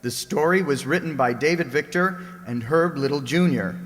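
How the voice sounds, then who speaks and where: A man's voice: a little high, normal speed, fairly bright tone. A man announcing a recreated old-time radio show in a scripted style. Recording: echoey hall with noticeable noise.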